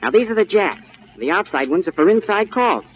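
A man's voice: high-pitched, sing-song, a little fast, slightly rough, full character voice. An actor playing a brash, slangy office boy, in 1930s radio acting. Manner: brash, slangy, calls her sister. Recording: dull old radio broadcast with faint hiss.

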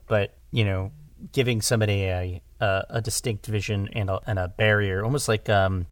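A man talking casually as a podcast guest about his craft as a director, halting and animated as he thinks aloud. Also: fairly low pitch, no room sound, clean studio sound. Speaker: audio drama director, interview guest.

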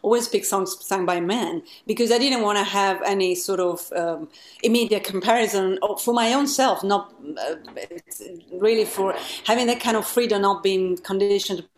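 A woman's voice: mid-range voice, moderate pace, slight background noise. Woman musician thinking aloud. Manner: searching, thinks aloud in loose phrases. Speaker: singer, interview guest. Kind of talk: casual conversation.

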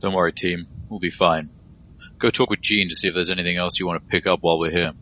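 Commanding leader of a street team played by a man, low and forceful, clipped orders, audio drama. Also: steady tone, quick pace, slight background noise. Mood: reassuring. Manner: firm, terse, authoritative.

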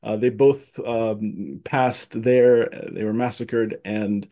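A man's voice: on the low side, fairly even intonation, takes it slowly, mellow. A man from Egypt talking as a guest in a casual conversation, earnest and thoughtful, with frequent fillers and restarts. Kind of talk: casual conversation, personal history.

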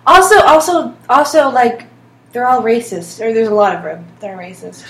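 A woman's voice: a little high, moderate pace. A young woman in casual podcast chat, rambling in an offbeat, dryly joking way. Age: young adult. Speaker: podcast co-host or guest. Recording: roomy echo, faint background noise.

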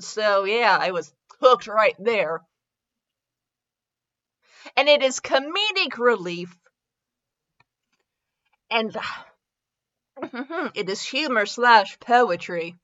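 A high-voiced woman gives a comedic dramatic reading at an unhurried pace. She is giggly and mock-dramatic, often interrupting herself with casual asides, and the sound is slightly dull and lo-fi. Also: fairly bright tone. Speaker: podcast host reading fanfiction.

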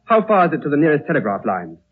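An old, tinny radio recording carries an agitated Western traveler. His highish voice swings widely in pitch as he insists and repeats himself, impatient and halting, in 1930s radio drama style. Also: huge pitch swings, faint music behind. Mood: impatient, uneasy.

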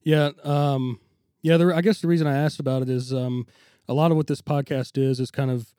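A man hosting a music podcast, sounding stuffy and congested as he talks in a relaxed way full of ums and uhs. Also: mid-range voice, fairly quick, clear, resonant tone, dry recording, studio quality. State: recovering from illness, stuffy nose. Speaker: podcast host. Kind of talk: casual podcast intro and interview.

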